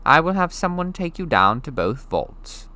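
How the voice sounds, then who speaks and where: A man voicing a prim male goblin bank clerk in a storybook reading, speaking slowly and formally. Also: a little high, very animated, bright, forceful tone, no echo, voice pushed up.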